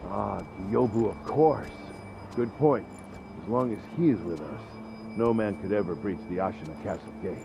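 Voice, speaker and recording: A man's voice: lowish voice, moderate pace, creaky, background noise. A man playing an uneasy rank-and-file castle soldier in a video game. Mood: reassured. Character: worried male castle soldier, easily reassured. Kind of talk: video game cutscene acting.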